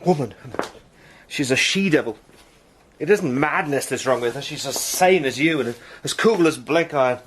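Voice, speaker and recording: A man's voice: mid-range voice, expressive, normal speed, a bit bright, slight background noise, lightly acted. A man playing a haunted British adventurer caught up in a plot, speaking intensely and stammering under strain in a radio drama. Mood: horrified. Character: Sandy, haunted British adventurer in disguise, anguished.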